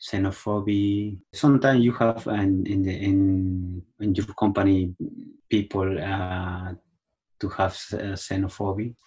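Male student, low register, halting, filler-heavy class talk. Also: fairly even intonation, a little mellow, slightly rough. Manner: hesitant learner English with fillers. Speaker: ESOL student. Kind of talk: casual self-introduction and discussion.

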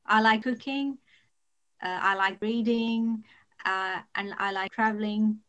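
A woman's voice: mid-range voice, steady tone, measured pace, fairly bright tone, smooth. Young woman on a dull recording. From India. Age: 20s. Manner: simple sentences, some fillers. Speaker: ESOL student. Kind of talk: podcast interview answers.

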